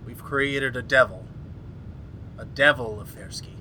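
A man plays a resolute, agitated scientist in a 1930s-style horror radio drama. The voice is medium in pitch with a sharp, forceful edge and dramatic emphasis, recorded over faint rumbling noise. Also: a little slow, low-quality recording. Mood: grave, urgent. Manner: dramatic, exclamatory. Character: earnest, agitated male scientist doctor, grimly resolute.

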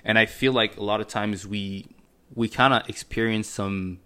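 A man's voice: on the low side, fairly even intonation, normal speed, pushed and bright, clean tone. A man in his twenties with a slight French Canadian accent, a native French speaker, hosting a podcast in an earnest, motivational way with many fillers and restarts. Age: 20s. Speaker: podcast host. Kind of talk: casual solo podcast monologue.

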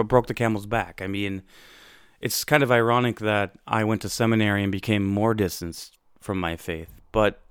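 Low smooth-voiced young man reflecting. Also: no echo, studio quality. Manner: conversational, many fillers and restarts. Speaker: podcast host. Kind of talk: reflective personal storytelling.

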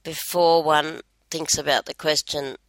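A woman co-hosting a casual podcast, speaking in a measured, reflective way. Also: deep voice, level tone, no echo. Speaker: podcast co-host.

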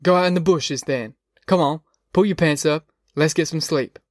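A man's voice: a little high, normal speed, no echo, close to an everyday voice. A man voicing a wry, earthy farm father with a blunt, folksy manner in an audiobook. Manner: folksy, dry-humored, blunt.

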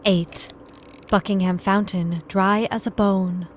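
A woman playing a sober narrator who catalogues photographs in measured, clipped phrases for an audio drama. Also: mid-range voice, fairly even intonation, a little hiss or hum, natural voice. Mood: somber, measured.

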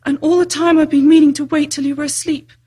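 A woman's voice: high-pitched, little pitch movement, fast, warm tone, voice pushed up, natural voice. A woman voicing a sorrowful, guilt-ridden male fawn in a storybook, mournful and self-reproaching. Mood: confessing guilt. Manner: sobbing, groaning, mournful. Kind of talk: storybook character reading.

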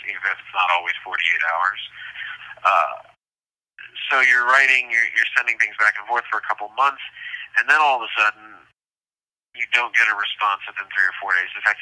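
A man speaking candidly and reflectively over a tinny phone line, halting and repeating phrases as he goes. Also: lowish voice, bright, forceful tone. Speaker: prison inmate interviewee. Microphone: telephone.

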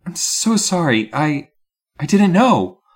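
A man's voice: a little high, sing-song, takes it slowly, breathy edge, voice pushed up, full character voice. A man voicing a flustered, apologetic woman who runs an odd business in a storybook. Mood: flustered apology.

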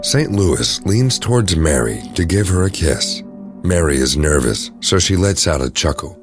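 Very deep male drama narrator. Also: lively intonation, measured pace, music in the background. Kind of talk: scripted audio drama narration.

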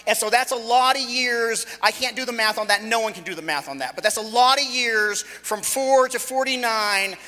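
A man in his late forties gives a loose, joking sermon with a very high voice. His tone is bright and forceful, and he talks conversationally, restarting his sentences often. The sound carries the long reverb of a church hall. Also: normal speed, few pauses. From Los Angeles, California. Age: 40s. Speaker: visiting preacher.

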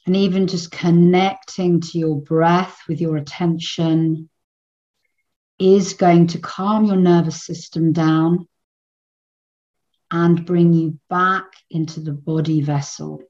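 A woman leading a guided meditation in a soothing, unhurried manner with long pauses between phrases. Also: lowish voice, fairly even intonation, a little mellow, muffled recording.

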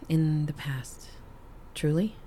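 Low-voiced gentle survivor. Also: female voice, slow, a little hiss or hum. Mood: regretful. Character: gentle, earnest telepathic woman, kind and cautious survivor. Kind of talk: scripted fiction podcast acting.

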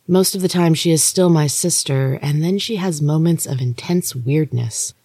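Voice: mid-range voice, warm tone, dry recording. A podcast reader narrating a first-person story from a script. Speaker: audiobook reader, podcast host.

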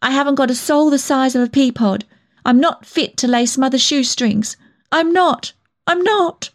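Woman playing fervent young woman, pitched high. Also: moderate pace, close to an everyday voice. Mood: miserable, fierce. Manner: fervent, pleading, spluttering. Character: emotional, proud young woman, hot-tempered and self-reproaching.

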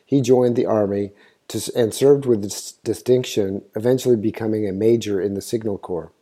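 A man's voice: lowish voice, steady tone, moderate pace, warm tone, slightly rough. A man hosting a history show, reading a scripted narration in an informative storytelling way, now and then stumbling over a word. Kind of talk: scripted narration, read aloud.

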